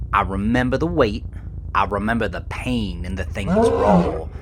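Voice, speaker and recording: Man acting a slow-talking, shaken American trucker. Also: lowish voice, heavily acted. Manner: folksy, coarse, rambling storytelling. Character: shaken, defensive trucker confessing a horrifying roadside encounter. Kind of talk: dramatic first-person horror audio monologue.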